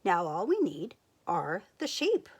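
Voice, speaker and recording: A woman voicing a cheerful, bossy female sheep who thinks she knows everything, speaking brightly and loudly in a storybook reading. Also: on the low side, wildly swooping pitch, a little slow, breathy edge, heavily acted. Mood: eager.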